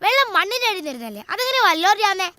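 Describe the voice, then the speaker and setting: A woman's voice: high-pitched, expressive, normal speed, sharp tone, no echo. A female performer playing a headstrong, talkative schoolgirl who is cheeky and insistent in naturalistic film dialogue.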